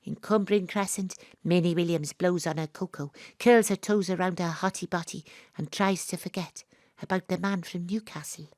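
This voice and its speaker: A woman's voice: lowish voice, fairly even intonation, normal speed, darkish tone, no room sound, clean studio sound, close to an everyday voice. A woman playing a plucky, self-assured investigator, narrating her adventure as dramatic first-person storytelling in an audio drama. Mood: hushed, lyrical. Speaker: lead narrator.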